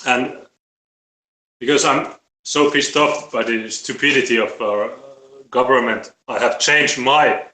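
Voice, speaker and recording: A man with a Finnish accent talking casually and bluntly on a live stream, halting and repeating his words. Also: mid-range voice, sharp tone. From Finland. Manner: halting, repetitive, blunt with swearing. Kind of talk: casual opinionated live talk. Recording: roomy, echoey live-stream audio.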